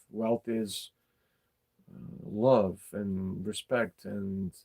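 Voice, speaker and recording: Casting: middle-aged man, low creaky voice, halting, blunt, off-the-cuff podcast monologue. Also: mellow. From former Yugoslavia. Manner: halting, repeats words, blunt and sweary. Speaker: podcast host. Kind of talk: casual opinionated monologue.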